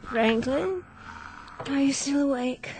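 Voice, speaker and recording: An actress playing a patient, gently concerned wife in a radio drama, solicitous and questioning. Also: female voice, sits high, steady tone, slow, noisy background. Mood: caring. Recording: studio radio drama with music underneath.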